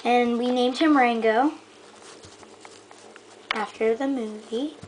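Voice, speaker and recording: A woman giving a casual, rambling show-and-tell in a hesitant, halting way that often trails off. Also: fairly high pitch. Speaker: hobbyist giving a toy horse stable tour. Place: indoors in a room at home. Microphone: handheld camera held close by the speaker. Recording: noisy amateur home camera recording.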